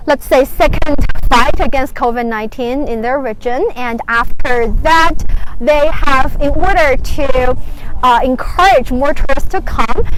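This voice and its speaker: High-voiced young woman hosting a live stream outdoors. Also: rough recording. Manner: chatty, explains as she goes, hesitant fillers. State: cold. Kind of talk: casual travel live stream. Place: outdoors by a river at sunset.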